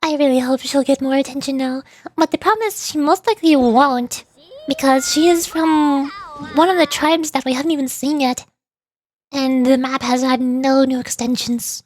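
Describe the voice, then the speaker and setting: A woman streamer chatting casually about a video game in a rambling, playfully exasperated way, often halting and repeating words. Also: high voice, a little mellow, a little hiss or hum. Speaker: streamer, VTuber. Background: background music.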